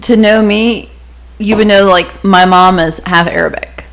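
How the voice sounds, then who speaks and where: A woman's voice: medium pitch, fairly even intonation, measured pace, a bit bright, poor audio. A woman with a Southern accent, chatting casually as a podcast co-host. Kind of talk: casual chat.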